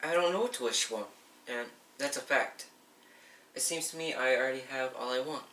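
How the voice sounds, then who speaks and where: A man's voice: medium pitch, moderate pace, sharp tone, lightly acted. A young man in an amateur school play acting a genial, stubborn middle-aged father and husband. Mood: doubtful. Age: young adult. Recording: echoey, noisy room, distant mic.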